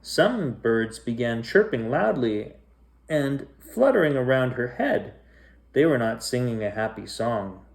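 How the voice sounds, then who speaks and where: A man's voice: medium pitch, animated, a little slow, creaky. A man narrating a children's storybook as a bedtime reader, telling it phrase by phrase.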